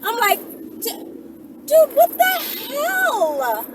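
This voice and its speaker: A woman talking casually and candidly to viewers as a vlogger, in a rambling, conversational, animated way. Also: very high voice, drawn-out words. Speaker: vlogger and channel host. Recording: distant mic, heavy vehicle-like background noise.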